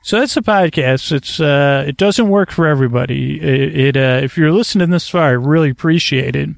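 A man hosting a bedtime podcast in a hesitant, meandering, rambling monologue with stammered repetitions and a creaky voice. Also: on the high side, a little fast, no echo. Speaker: podcast host.

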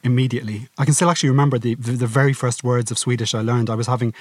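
Relaxed male podcast host. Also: medium pitch, very fast, no room sound. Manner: relaxed anecdotal storytelling. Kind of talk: casual podcast chat.

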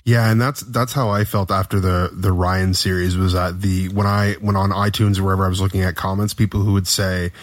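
Low-voiced guy hosting a podcast, encouraging and chatty, super dry sound. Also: level tone, fairly quick, hardly any pauses, no echo. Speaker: podcast host interviewer. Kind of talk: casual interview chat.

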